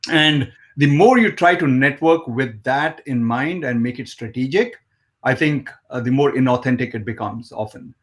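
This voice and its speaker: A man's voice: medium pitch, normal speed. A man hosting an interview, friendly and affirming, thinking aloud with fillers as he frames his questions. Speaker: host. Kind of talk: interview conversation.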